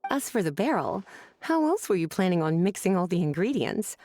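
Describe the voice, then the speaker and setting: A woman's voice: on the low side, big pitch swings, fairly quick, a little mellow, dry recording, studio quality. A woman playing a teasing, persuasive scholar with a coaxing, playful manner in an anime game. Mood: reassuring, amused.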